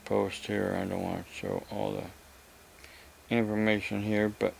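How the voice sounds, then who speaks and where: A man giving a rambling, casual explanation to viewers, halting and repeating himself as he goes. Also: fairly low pitch, little pitch movement, vocal fry, a little hiss or hum. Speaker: vlogger.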